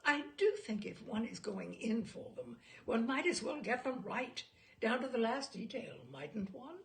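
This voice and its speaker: A woman's voice: fairly high pitch, lively intonation, measured pace, gravelly. A woman voicing a pompous, fussy male expert witness in a humorous story, precise, proud and declamatory. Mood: pedantic, proud. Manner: precise, self-satisfied, declamatory. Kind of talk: humorous short story read aloud.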